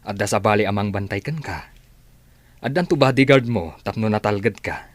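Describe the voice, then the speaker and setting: Man playing a gentle, reassuring father. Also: lowish voice, expressive, moderate pace, no echo, lightly acted. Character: reassuring middle-aged father. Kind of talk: radio drama acting.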